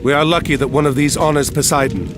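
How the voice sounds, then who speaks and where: An actor playing a heroic, commanding and earnest admiral in a video game cutscene. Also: male voice, on the high side, fast talker, close to an everyday voice. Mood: troubled, grave. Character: heroic male admiral, commanding Greek warrior leader. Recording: loud music behind the voice.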